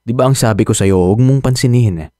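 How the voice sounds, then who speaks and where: An actor with a Filipino accent playing a steady young boyfriend in radio drama dialogue, reassuring and firm but resigned. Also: male voice, mid-range voice, quick pace, dry recording, studio quality, natural voice.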